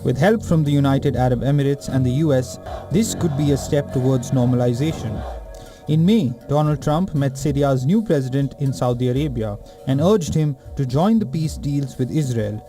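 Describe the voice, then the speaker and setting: A man reporter reading a scripted news voice-over in a steady, measured way. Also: medium pitch, soft background music.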